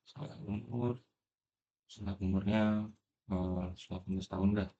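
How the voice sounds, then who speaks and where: Man, very low voice, relaxed coding tutor, filler-heavy, faint background hiss. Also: normal speed, dull audio. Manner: relaxed, thinking aloud with many fillers. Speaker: programming tutor. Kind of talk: screen-recorded coding tutorial.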